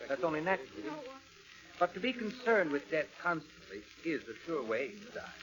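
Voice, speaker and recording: An actor playing a self-assured, probing psychiatrist in a 1940s radio drama, deliberate and authoritative. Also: male voice, fairly high pitch, sing-song, dull audio, a crowd in the background. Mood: coaxing.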